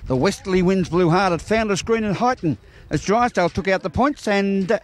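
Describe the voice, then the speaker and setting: A man's voice: high-pitched, fairly quick. An Australian man working as a sports reporter, interviewing for local television in formal, wordy broadcast phrasing.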